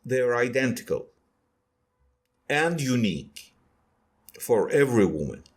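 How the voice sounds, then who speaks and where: A man with a Turkish accent, a guest author in a podcast discussion, speaking slowly with many hesitations. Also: mid-range voice, very animated, breathy edge. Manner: slow, hesitant with many fillers.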